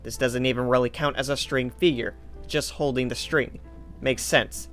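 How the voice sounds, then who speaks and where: A man's voice: mid-range voice, fairly even intonation, normal speed, a bit bright. A man reading scripted second-person story narration as the narrator of a fan drama. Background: music.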